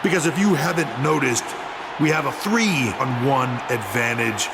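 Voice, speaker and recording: A man's voice: mid-range voice, animated, a little slow. A man playing an arrogant, menacing wrestling villain with a condescending, taunting promo delivery in a video game. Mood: mocking. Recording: very noisy arena sound.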